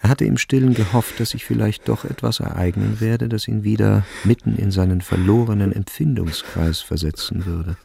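A man's voice: lowish voice, moderate pace, vocal fry, hardly any pauses, warm tone, breathy edge. A man narrating a literary story as part of a radio play.

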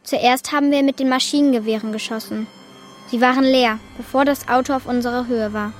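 Radio play actress as a matter-of-fact officer giving testimony, higher register, light music bed. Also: female voice, fairly even intonation, fairly quick, close to an everyday voice. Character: matter-of-fact police officer reporting an ambush.

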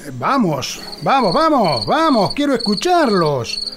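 A man playing a rambling, upbeat president in Argentine political satire, halting and repeating himself, in a radio drama. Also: sits high, huge pitch swings, music in the background, full character voice. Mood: smug cheer. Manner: halting, repetitive, filler-laden speech.

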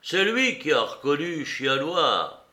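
A weathered old pirate sailor, quick to anger and shouting, as a male narrator puts him on. His voice is pushed higher and louder than the storyteller's own, in a storybook telling. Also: sing-song, echoey room, full character voice. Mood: angry.

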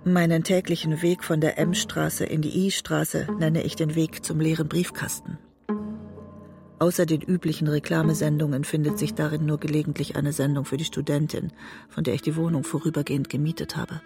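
An actress playing a guilt-ridden, disillusioned wife and writer, narrating introspectively in a literary radio drama. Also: female voice, low voice, little pitch movement, creaky, over music. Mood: weary, detached. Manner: introspective, measured storytelling. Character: disillusioned, guilt-ridden woman writer trapped in a bitter marriage.

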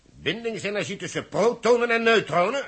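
A man's voice: high-pitched, lively intonation, moderate pace, fairly bright tone, slightly rough, full character voice. An actor playing an agitated, sceptical male technical expert in a 1960s Dutch radio drama, objecting in disbelief. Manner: incredulous, objecting.

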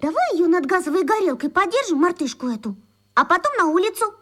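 A little schoolgirl with an extremely high voice. She is eager and caring, swooping brightly through her lines with chirpy optimism, in radio play style. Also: big pitch swings, quick pace, heavily acted. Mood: excited, reassuring. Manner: chirpy, exclamatory.

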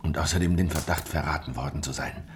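An actor playing a guarded, world-weary fugitive rebel veteran in a German historical radio drama, grave and terse in manner. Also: male voice, a bass voice, quick pace, background noise. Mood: grave, bitter.

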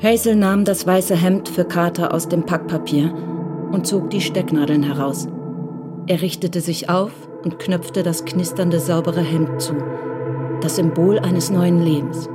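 A woman's voice: on the low side, fairly even intonation, a little slow. A woman narrating a crime radio drama as a scripted literary story. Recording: clear music bed under narration.

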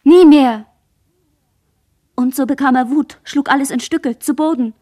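A woman in a radio play, playing a dazed, traumatised girl who survived a massacre, in halting fragments. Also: sits high, warm tone. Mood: haunted, halting.

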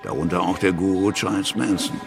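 An actor playing a police investigator in a radio play, reporting in a dry, official and factual manner. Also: male voice, low voice, fairly even intonation, brisk, creaky, over music. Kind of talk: German docudrama radio play testimony.

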